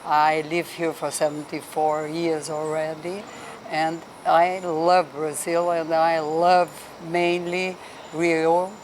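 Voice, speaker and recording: A woman, telling her life story in an interview, slowly and carefully, with pauses and restarts. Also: low voice, flat delivery, darkish tone, slight background noise, rough recording. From Austria. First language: Hungarian. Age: 70s. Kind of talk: casual interview. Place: hostel.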